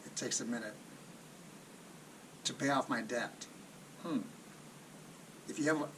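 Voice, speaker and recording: A man's voice: medium pitch, fairly bright tone. A man giving casual, off-the-cuff commentary as a video host, rephrasing as he goes and posing rhetorical questions with plain advice. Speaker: personal finance video host. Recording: distant mic, clearly noisy background.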